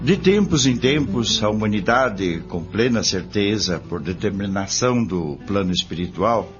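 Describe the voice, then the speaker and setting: A man with a Brazilian accent playing an earnest, courteous spiritist group leader in a radio drama, formal and deliberate. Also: lowish voice, lively intonation. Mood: solemn, approving. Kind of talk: amateur radio drama acting. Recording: dull lo-fi, music behind.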